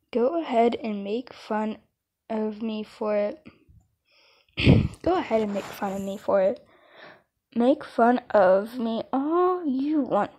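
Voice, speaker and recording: Amateur female comic dubber: high voice, soft-edged tone, casual, stumbling read-aloud with asides. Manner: halting, stumbling and restarting lines.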